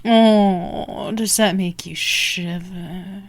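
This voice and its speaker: Woman playing a slow, teasing predatory vampire woman. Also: fairly low pitch, steady tone, heavily acted. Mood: taunting, menacing. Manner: taunting, sultry, drawn-out, often dropping into breathy whispers. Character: dominant, teasing, predatory female vampire stalking her prey. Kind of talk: ASMR roleplay acting.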